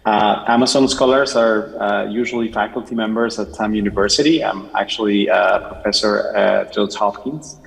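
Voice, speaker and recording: A man from Chile, a professor, introducing himself briskly and formally on a conference panel. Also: lowish voice, gravelly. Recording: reverberant hall.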